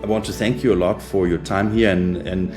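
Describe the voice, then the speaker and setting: A man with a Danish accent, a native Danish speaker, interviewing a guest remotely, halting with many filler uhs. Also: low voice, steady tone, music in the background. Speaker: interviewer, trade media editor.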